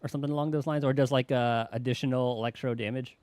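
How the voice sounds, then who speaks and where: A man's voice: medium pitch, fairly even intonation, fast talker, a little mellow. A man giving casual gameplay commentary as a streamer. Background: game music.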